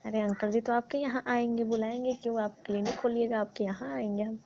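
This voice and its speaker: A young woman talks in a playful, coaxing way over a phone call. Her voice is mellow and soft-edged, and the call recording sounds muffled and dull. She teases the person on the other end as she chats. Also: fairly high pitch, little pitch movement, fairly quick, a little hiss or hum. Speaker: caller posing as patient. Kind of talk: staged funny phone call.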